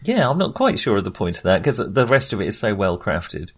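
A man's voice: on the low side, big pitch swings, brisk, slightly rough. A man co-hosting a film podcast, talking casually in a hesitant, self-qualifying way with real enthusiasm.

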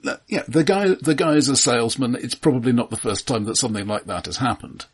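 A man's voice: mid-range voice, fast talker, husky. A man co-hosting a film podcast, thoughtful and dryly wry, often restarting his sentences. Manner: thoughtful, dry wry humour, frequent restarts. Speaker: podcast co-host. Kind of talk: casual film discussion.

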